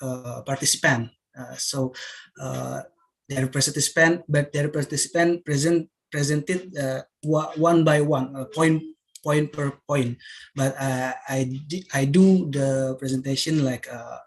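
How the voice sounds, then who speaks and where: A man's voice: mid-range voice, fairly even intonation, gravelly. A man from Indonesia with an Indonesian accent, giving a hesitant presentation on a video call, full of fillers and restarts. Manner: halting, many fillers and restarts. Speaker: workshop participant presenting.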